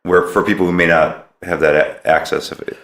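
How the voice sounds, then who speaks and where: A man's voice: low-pitched, level tone, a little fast, a bit bright. A man hosting a podcast, interviewing conversationally in a tentative way, rephrasing as he goes.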